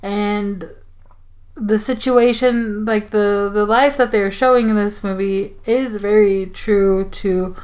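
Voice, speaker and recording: A woman's voice: medium pitch, fairly even intonation, slow, darkish tone. A young adult woman from the Mexico border area, chatting casually about a film with frequent likes and restarts. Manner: hesitant, many likes and restarts. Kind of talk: casual film review chat.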